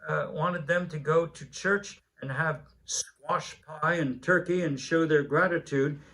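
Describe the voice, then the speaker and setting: A man in his seventies reads a children's Christmas story aloud, unhurried, with small hesitations. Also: a little high, fairly even intonation, sharp tone, poor audio. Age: 70s. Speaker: story reader.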